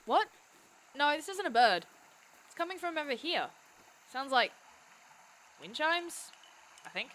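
A woman plays a brash young female adventurer in an audio drama. Her voice is high and bright, swinging widely as she teases and swears in slang. There is faint noise behind her. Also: unhurried, pauses often, heavily acted, poor audio. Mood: excited, urgent. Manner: slangy, swearing, teasing, excitable. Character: brash, foul-mouthed, sarcastic young woman on a monster hunt.

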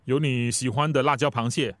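Man as older father, medium pitch, gruff, caring, radio drama acting. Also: no echo, lightly acted.

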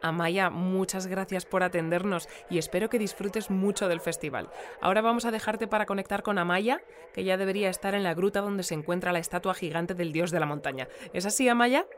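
Over clearly audible background noise, an actress plays a female radio newscaster with a Spain accent. She is polite and chatty, interviewing in the mid-pitched voice of an audio-drama bulletin host. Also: fairly quick, close to an everyday voice. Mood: polite, inquisitive. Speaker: radio news presenter.

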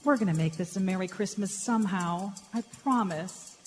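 A woman's voice: mid-range voice, normal speed, darkish tone, faint music behind, natural voice. An actress playing a plucky, guilt-ridden single mother, earnest and melodramatic, in a live radio parody. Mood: resolved. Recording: live hall reverb, faint noise.

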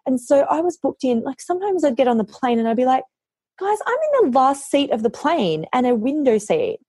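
A woman author, hoarse from losing her voice, chatting casually on a podcast and telling lively stories, often quoting herself. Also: sits high, brisk, no room sound, studio quality. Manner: animated storytelling, quotes herself.

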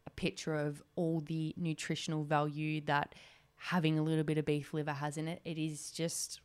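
A woman's voice: sits low, level tone, normal speed, clear, resonant tone. A woman in her twenties hosting a solo podcast, talking in a chatty, rambling way with lots of "like". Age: 20s. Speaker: podcast host.

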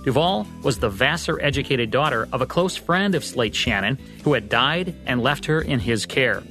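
A man's voice: mid-range voice, animated, moderate pace, pushed and bright, soft background music. A man with an American accent presenting scripted history narration as a podcast co-host.

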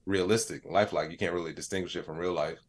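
A man with a fairly low voice talks as a guest in an interview. He tells his stories casually and loosely, sprinkling in "like" and "you know" as he goes. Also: steady tone, quick pace, breathy edge. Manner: rambling, peppered with "like" and "you know". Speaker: interview guest, comedian and AI storyteller. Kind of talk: casual conversational storytelling.

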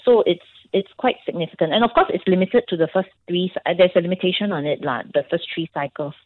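A woman doctor with a Singaporean English accent explains things in a radio interview, pausing often with ums. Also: lowish voice, dry recording. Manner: explanatory, with many ums and pauses. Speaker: fertility doctor guest. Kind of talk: radio interview on a phone line. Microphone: phone. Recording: tinny phone line, dry.

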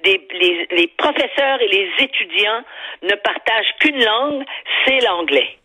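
An older woman with a Quebec French accent and a husky voice, giving opinionated radio commentary in an emphatic, halting, digressive way full of rhetorical asides. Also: medium pitch, lively intonation, sharp tone, dry recording, rough recording. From Quebec, Canada. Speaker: columnist and commentator on a talk show.

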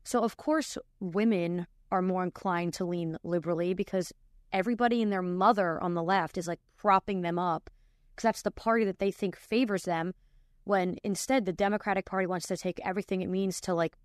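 A woman's voice: on the low side, fairly even intonation, brisk, no room sound, studio quality. A woman hosting a podcast, giving casual reaction commentary in a chatty way with lots of fillers and restarts. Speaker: podcast host.

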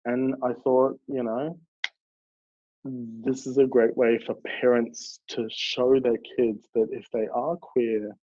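A man's voice: mid-range voice, little pitch movement, slow, dark tone, breathy edge, no room sound, dull audio. A young Australian man talking about his life as a drag performer in an earnest, reflective way, with many fillers. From Melbourne, Australia. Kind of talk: casual podcast interview.

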